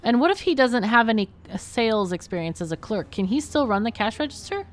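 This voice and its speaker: A woman's voice: fairly high pitch, animated, a little fast. A woman hosting a game livestream, chatting casually and thinking aloud as she plays. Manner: thinks aloud while playing. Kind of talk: casual gameplay chat.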